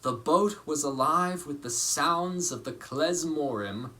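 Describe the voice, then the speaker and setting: Bright, highly expressive male storybook narrator. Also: slow. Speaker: actor reading a children's storybook aloud.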